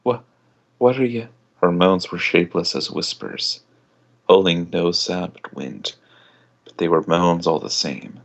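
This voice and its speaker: A man with a deep voice reads fan fiction aloud. His tone is gravelly and creaky, and the delivery is that of an amateur dramatic narrator. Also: steady tone, unhurried, fairly bright tone. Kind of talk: amateur dramatic story reading.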